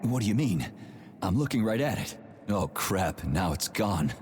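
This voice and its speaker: An actor voicing a gruff, foul-mouthed, cynical Soviet special agent in a video game, brusque and irritable, with a husky voice. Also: male voice, lowish voice, big pitch swings, a little slow, a little mellow, exaggerated voice. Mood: irritated. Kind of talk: video game cutscene acting. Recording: long reverberant hall sound.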